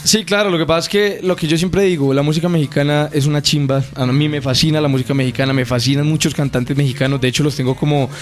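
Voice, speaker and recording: Fast-talking singer. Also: male voice, a little high, steady tone. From Colombia. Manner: eager, talks at length, passionate. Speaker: singer guest. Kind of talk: radio interview.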